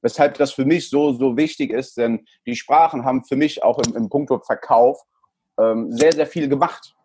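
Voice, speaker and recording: A man's voice: medium pitch, moderate pace, darkish tone, breathy edge. A man in his thirties, raised in El Salvador, chatting as an interview guest and often repeating his words. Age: 30s. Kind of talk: casual interview talk.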